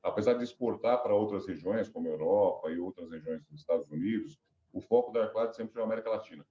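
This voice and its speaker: A man's voice: fairly low pitch, quick pace, warm tone, echoey room, dull audio. A middle-aged man with a cold and a stuffy, nasal voice, rambling through a business interview as a company director. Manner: rambling, many restarts and fillers.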